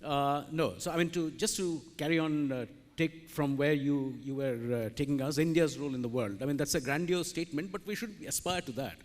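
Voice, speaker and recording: A man speaks on a conference panel with a loud voice that carries. He rambles and restarts as he builds his points. His slightly high voice sits in a long hall reverb. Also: a little fast, hardly any pauses. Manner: loud, rambling, self-aware. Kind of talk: conference talk.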